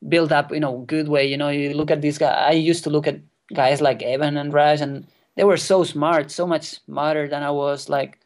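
A young man in his twenties, a non-native English speaker whose first language is Catalan, telling a wild kayaking story as a guest, excited and swearing freely. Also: a little high, moderate pace, hardly any pauses. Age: 20s. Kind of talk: casual storytelling interview. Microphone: remote call.

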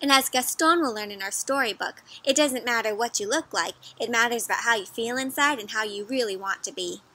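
A young woman with a high, bright voice hosts a read-aloud for kids. She speaks expressively, pitching everything to small children and inviting them in with questions. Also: a little fast. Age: young adult. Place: outdoors in a garden or patio.

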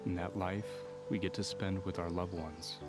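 A man's voice: sits low, fairly even intonation, moderate pace. A man giving reflective scripted voice-over narration in a solemn, sentimental manner. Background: music.

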